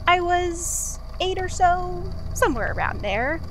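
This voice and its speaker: A chatty young-sounding archive assistant guy played by a woman records a confession for an audio drama. The voice is high and rambles hesitantly and conversationally, with clear noise behind it. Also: lively intonation, unhurried. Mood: nervous, candid.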